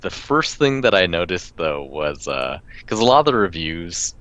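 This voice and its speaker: A man's voice: low voice, sing-song, normal speed, fairly bright tone. A man co-hosting a podcast, chatting casually about video games, rambling with frequent laughs. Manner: rambling, laughs often, many restarts. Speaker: podcast co-host. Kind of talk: casual gaming chat.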